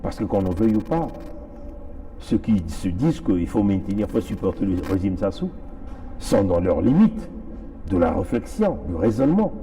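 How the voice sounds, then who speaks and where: A man from Congo-Brazzaville speaks with a Congolese French accent in a lowish, very mellow voice. His pitch moves widely as he delivers an impassioned political address. He is emphatic, repeating phrases to drive them home as an activist would. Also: big pitch swings, normal speed, rough recording.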